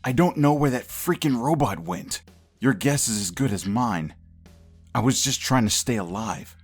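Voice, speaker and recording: A man's voice: medium pitch, animated, moderate pace, breathy edge, soft background music. A man playing a desperate government agent in a comic book audio drama, insistent and exasperated. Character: desperate male government agent pleading his innocence.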